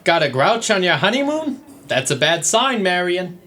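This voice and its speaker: A man's voice: sits high, big pitch swings, moderate pace, bright, forceful tone, heavily acted. A man playing a smug, needling ex-husband in a 1910s New York comedy audio play, taunting and mock-polite.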